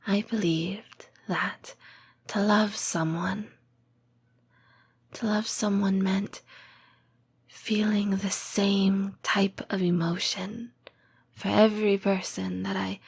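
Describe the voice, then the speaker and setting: A woman voicing a tender, patient lamia girlfriend in an intimate comfort roleplay, breathy and whispering, with measured, formal phrasing. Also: mid-range voice, fairly even intonation, heavily acted. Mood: tender, reflective. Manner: slow, measured, formal phrasing with deliberate pauses. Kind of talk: intimate comfort roleplay audio, scripted monologue.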